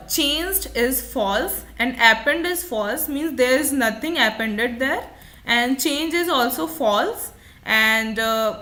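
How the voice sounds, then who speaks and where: A woman gives a software tutorial in a fairly high, very bright voice. She explains each step of what is on screen and restates key points as an instructor would.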